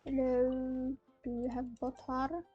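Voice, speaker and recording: A woman's voice: high voice, monotone, slow pace, slightly rough, lo-fi sound. A young woman from Malaysia chatting casually as she streams a game. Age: young adult. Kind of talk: casual live-stream chat while playing. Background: soft game music.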